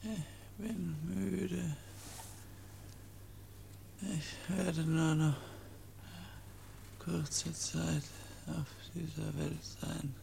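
An actor playing a dying, feverish young man in a radio drama, weary and halting, with long pauses between words. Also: fairly high pitch, level tone, vocal fry, dark tone, slight background noise, heavily acted. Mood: exhausted, sorrowful. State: weak, feverish, near death.

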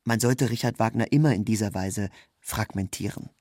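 A man's voice: on the low side, moderate pace, darkish tone, dry recording. Dry, close-sounding male literary narrator. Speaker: narrator, reader of a literary text.

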